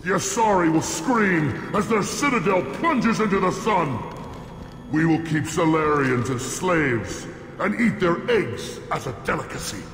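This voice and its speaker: An actor playing a boastful, bloodthirsty alien clan warrior in a video game, declaiming grandiose threats. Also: male voice, a little high, expressive, measured pace, a bit bright. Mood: boastful, threatening. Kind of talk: video game villain acting. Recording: echoey hall reverb, faint music.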